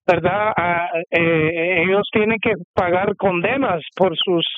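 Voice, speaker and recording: A high-pitched man speaks slowly and haltingly, leaning on fillers and restarts as he tells his testimony. His voice is smooth, and it is heard dry and close on a dull, muffled radio line. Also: fairly even intonation, no room sound. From United States. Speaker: interview guest. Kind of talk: testimony interview.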